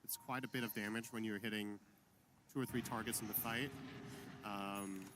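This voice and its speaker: A man's voice: lowish voice, fairly even intonation, normal speed, noisy background. A man giving analytical color commentary on an esports match, explaining item choices.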